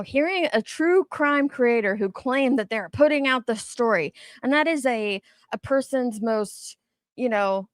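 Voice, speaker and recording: A woman's voice: a little high, dry recording. Woman chatting candidly, close dry sound. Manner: candid, emphatic, mildly profane. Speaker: online show host. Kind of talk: casual chat commentary.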